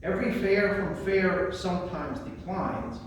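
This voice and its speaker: A man with a high voice presents and recites in a theatrical, declamatory way. He sounds far from the microphone, in a large room with heavy echo and long reverb. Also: animated, measured pace. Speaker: librarian presenting a poetry reading. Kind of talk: spoken presentation and recitation of poems. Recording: very echoey room, distant mic, faint noise.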